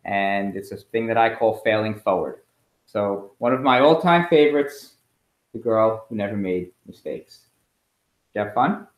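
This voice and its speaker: A middle-aged man, a school principal, reading a storybook aloud expressively and pausing with chatty asides and questions for children. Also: on the low side. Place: indoors at home. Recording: echoey room in a home.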